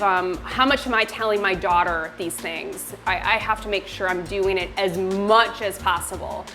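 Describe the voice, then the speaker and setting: A woman's voice: medium pitch, fairly bright tone. A middle-aged woman co-hosting a movie reaction chat, earnest and reflective, with many fillers. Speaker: co-host reviewing a movie.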